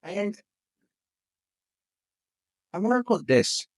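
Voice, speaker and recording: A man in his twenties hosting a podcast, talking loudly and haltingly with frequent restarts. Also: high-pitched, very animated, dry recording, studio quality. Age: 20s. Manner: halting, restarts often, loud speaker. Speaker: podcast host. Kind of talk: podcast interview chat.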